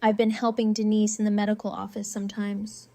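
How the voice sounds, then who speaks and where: A woman's voice: mid-range voice, monotone, fairly quick, dark tone, close to an everyday voice. An actress playing a shy, unsure teenage girl in an audio drama, talking in a hesitant, casual, understated way.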